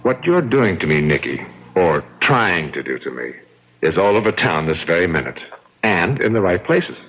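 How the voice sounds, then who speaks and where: Deep, husky, gloating radio villain. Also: male voice, very animated, a bit bright, exaggerated voice. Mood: smug, threatening. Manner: mocking, taunting, theatrically deliberate. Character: suave, gloating murderer, charming and coldly cruel. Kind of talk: old-time radio drama acting. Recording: dull, lo-fi radio drama recording.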